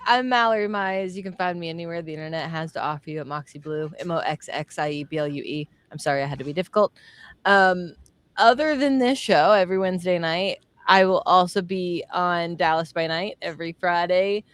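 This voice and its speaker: A woman's voice: on the low side, brisk, fairly bright tone, clean tone, no echo. A woman player signs off an actual play show, upbeat and chatty as she lists her other shows. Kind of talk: casual show sign-off.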